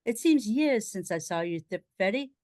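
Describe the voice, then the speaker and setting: A woman playing a breezy, teasing upper-class gentleman in a comic period radio play, jaunty and mocking with posh old-fashioned diction. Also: medium pitch, animated, normal speed, dry recording, studio quality, natural voice. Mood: jovial teasing.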